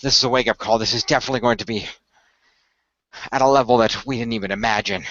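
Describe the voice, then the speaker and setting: A man playing a calm, technically minded team leader in an amateur radio play, speaking in a measured, authoritative, explanatory way. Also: medium pitch, no echo, lo-fi sound, close to an everyday voice. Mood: determined, concerned.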